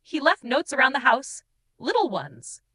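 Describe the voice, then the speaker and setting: A woman's voice: sits high, wildly swooping pitch, pushed and bright, full character voice. A woman voicing a guilt-ridden, tender shapeshifting changeling who confesses in mournful, dramatic first-person audiobook narration. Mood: loving, sorrowful. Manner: emotive, mournful storytelling with dramatic pauses.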